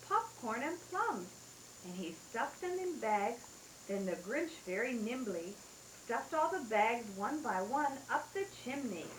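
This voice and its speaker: A woman's voice: on the high side, echoey room, a little hiss or hum, low-quality recording. A mother reading a rhyming bedtime story aloud in a sing-song way, taped at home.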